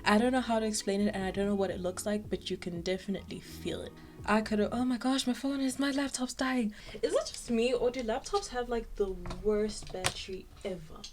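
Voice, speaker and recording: A young woman from South Africa chatting casually about her art, with lots of filler words and lively asides. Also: medium pitch, brisk. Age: young adult. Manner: chatty, animated, frequent "like" fillers. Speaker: art vlogger. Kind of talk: casual chat.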